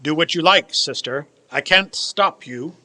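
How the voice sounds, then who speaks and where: A bitter old miser of a businessman, played by a man in a radio drama. The voice sits high for a man and has a hard, bright edge. He speaks formally and pompously, with cold scorn. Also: unhurried. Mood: bitter, scornful. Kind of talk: stage radio drama acting.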